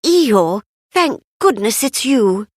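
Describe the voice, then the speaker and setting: Woman playing a tiny nervous piglet, squeaky, high-pitched, storybook character. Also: very animated, takes it slowly, no room sound, studio quality, exaggerated voice. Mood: relieved. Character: small timid squeaky piglet, easily frightened.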